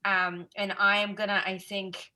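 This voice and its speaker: A woman's voice: mid-range voice, little pitch movement, measured pace, pushed and bright. A woman chatting on a video call as a parent in a language group, talking emphatically about her plans. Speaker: participant parent. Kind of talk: casual video call chat. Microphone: laptop video call.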